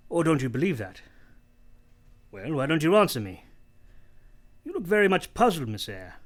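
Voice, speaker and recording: An actor playing a brusque, teasing, moody gentleman master of the house in a radio play, imperious and halting. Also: on the high side, very animated. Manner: imperious, abrupt, probing, halting delivery.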